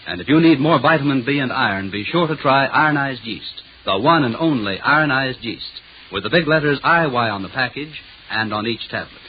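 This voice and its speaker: A man's voice: mid-range voice, a little hiss or hum. A man working as an old-time radio announcer, reading sign-offs and sponsor messages in a polished, emphatic way. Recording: muffled old radio recording.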